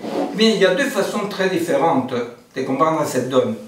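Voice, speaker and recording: A man's voice: on the high side, lively intonation, normal speed, noisy background. Man lecturing in a roomy hall. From Argentina. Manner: digressive, frequent fillers and rhetorical "n'est-ce pas". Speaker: seminar lecturer. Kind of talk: philosophy seminar lecture. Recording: roomy, distant mic.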